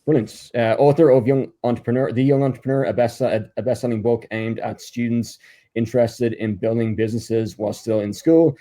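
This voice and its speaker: A man's voice: medium pitch, steady tone, fairly quick, warm tone. A man hosting a podcast interview, hesitant with many fillers and restarts, reading out a guest introduction. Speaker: podcast host.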